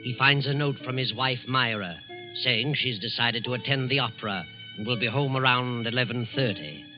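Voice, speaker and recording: A man narrating and hosting a 1940s radio suspense program in a measured, ominous, deliberate way. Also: mid-range voice, fairly even intonation. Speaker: radio show narrator and host. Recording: lo-fi 1940s radio recording with loud music. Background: loud music.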